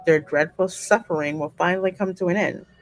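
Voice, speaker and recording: Female game streamer, lowish voice, expressive read-aloud narration over background music. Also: brisk, dry recording, low-quality recording. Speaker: gaming streamer reading the game heroine's part aloud. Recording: music running behind the voice.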